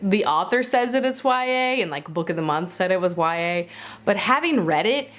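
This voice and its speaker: A woman's voice: medium pitch, lively intonation, moderate pace, a bit bright. A woman giving a casual, chatty book review to camera, informal and conversational, with playful asides and self-corrections.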